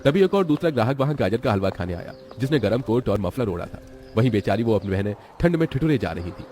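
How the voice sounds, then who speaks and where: Brisk, dramatic male story narrator. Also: medium pitch, very animated, darkish tone, a little hiss or hum. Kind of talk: scripted children's story narration.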